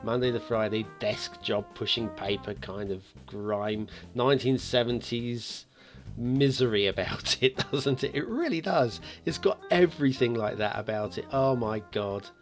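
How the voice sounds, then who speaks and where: A man's voice: mid-range voice, a little slow, faint music behind. A man hosting a game map review in a chatty, playfully teasing way, commenting casually and off the cuff.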